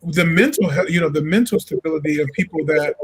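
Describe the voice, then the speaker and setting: Man from Illinois, jazz singer, guest, fairly high voice, relaxed, thoughtful. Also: fast, husky, poor audio. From Illinois, USA. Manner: relaxed, thoughtful, many "you know"s. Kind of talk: casual interview talk.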